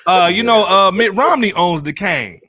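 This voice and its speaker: A man's voice: high-pitched, lively intonation, moderate pace, a crowd in the background. A man co-hosting a podcast, chatting casually in slang and often repeating himself. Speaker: podcast host. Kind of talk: casual group banter.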